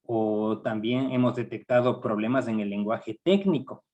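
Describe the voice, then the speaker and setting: A middle-aged man with an Ecuadorian accent leading a university writing seminar, speaking in a measured, hesitant way with frequent fillers. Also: fairly low pitch, steady tone, a little mellow, dull audio. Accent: Ecuadorian Spanish. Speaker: university research director giving a workshop. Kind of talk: academic training seminar.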